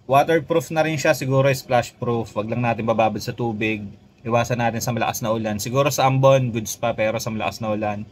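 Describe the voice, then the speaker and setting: A Filipino man giving a casual, conversational product review as a motovlogger, speaking directly to his viewers. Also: mid-range voice. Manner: conversational, addresses viewers, often tags phrases with "no?".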